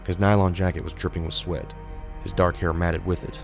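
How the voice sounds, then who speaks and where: Very deep, gravelly man narrating horror. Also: flat delivery, fairly quick, music in the background. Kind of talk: scripted horror audiobook narration.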